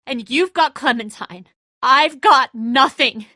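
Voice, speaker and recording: An actress playing a bitter, distraught, hot-tempered grown daughter in a dramatic video game, raw and confrontational. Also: female voice, high voice, takes it slowly, bright, forceful tone, no room sound, studio quality, full character voice. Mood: bitter grief. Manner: raw, emotional, confrontational, sweary.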